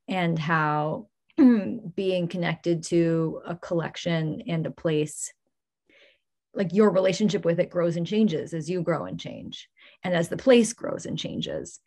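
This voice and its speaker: A woman curator moderating a public discussion, engaged and appreciative, often pausing with ums. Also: on the low side, darkish tone. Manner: engaged, grateful, frequent ums.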